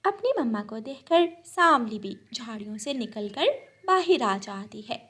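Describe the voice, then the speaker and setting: A woman's voice: fairly high pitch, big pitch swings, measured pace, fairly bright tone. A woman narrator reading a scripted children's story.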